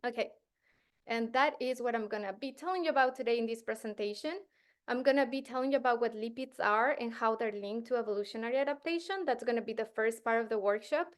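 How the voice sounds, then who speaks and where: A woman from Ecuador in her thirties presents a science workshop for teachers. Her voice sits a little high, and she talks casually, with many ums, uhs and restarts. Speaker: postdoctoral researcher presenting to teachers.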